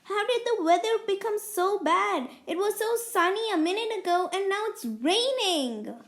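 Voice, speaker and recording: A woman playing a mischievous young girl in an animated moral story, with music behind her. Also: very high voice, moderate pace. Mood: panicked, wailing. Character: mischievous young girl who loves pranks, later panicked.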